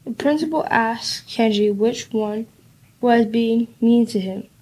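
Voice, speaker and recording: A woman's voice: a little high, slow pace, a little mellow, husky, low-quality recording. A woman reading scripted narration for an amateur radio play, with some noise on the recording. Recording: slight background noise.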